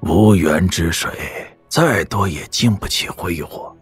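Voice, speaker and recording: An actor playing a haughty, scheming martial master in an audio drama, cold and sardonic with a rough husky voice. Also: male voice, low-pitched, slow pace, faint music behind, exaggerated voice.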